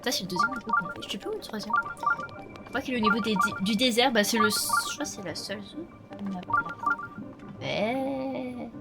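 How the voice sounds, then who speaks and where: A young woman with a blocked nose and a slightly nasal sound chats casually and laughs often as she plays. Her voice sits fairly high and swings widely in pitch. Game music plays clearly behind her at home. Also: sing-song, normal speed. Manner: chatty, laughs often, talks to viewers. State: stuffy nose from a cold. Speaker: let's play streamer. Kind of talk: casual gameplay commentary.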